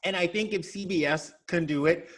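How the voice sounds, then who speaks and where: A man's voice: high voice, normal speed, fairly bright tone. A man speaking as a podcast guest in casual chat, emphatic and repeating words for stress.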